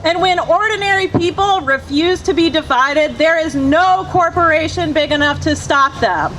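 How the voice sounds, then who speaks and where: A woman's voice: extremely high pitch, normal speed, background noise. A grassroots organizer from Virginia giving an impassioned, rhythmic speech at an outdoor rally, building her points in lists.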